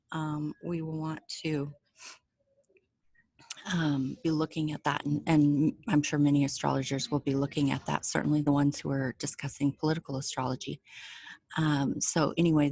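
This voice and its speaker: American lady astrologer, pretty low voice, super dry sound, explaining stuff step by step and reassuring people. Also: creaky, darkish tone, no echo. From United States. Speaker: astrologer presenting a chart reading. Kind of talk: informal explanatory talk to viewers. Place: indoors, away from home.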